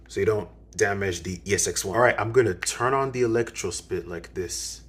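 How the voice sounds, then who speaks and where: A man casually demonstrating gear as a video host, going step by step and repeating himself. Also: fairly low pitch. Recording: clearly noisy recording.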